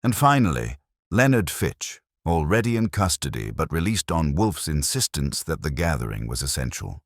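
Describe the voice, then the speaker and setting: A man's voice: rumbling low, sing-song, moderate pace, no echo, clean studio sound. A man reading a scripted detective story as an audiobook narrator.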